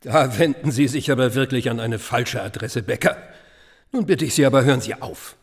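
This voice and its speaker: A man's voice: medium pitch, normal speed, husky, long reverb tail. A man in a radio play acting a worldly, sceptical friend with a blunt, sardonic manner. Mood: dismissive. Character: sceptical, dry male friend, worldly and dismissive. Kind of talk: German radio drama dialogue.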